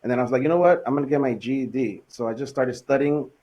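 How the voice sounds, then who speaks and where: A man in his thirties from Los Angeles, a podcast guest telling his story in a relaxed, casual way with plenty of fillers. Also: medium pitch, very fast, highs cut off. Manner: relaxed, laid-back, frequent fillers. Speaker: podcast guest, business owner.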